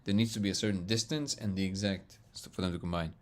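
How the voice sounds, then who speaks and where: A man hosting a casual live stream, reading viewer comments and answering them in an excitable, loud, booming voice, laughing often. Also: fast talker. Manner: excitable, laughs often, reads chat aloud. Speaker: livestream host answering chat.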